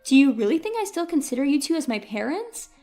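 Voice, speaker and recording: A woman's voice: sits high, very animated, fast, dark tone, faint music behind. A woman voicing a hurt, defiant young woman who speaks to her parents with emphatic reproach. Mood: angry, reproachful.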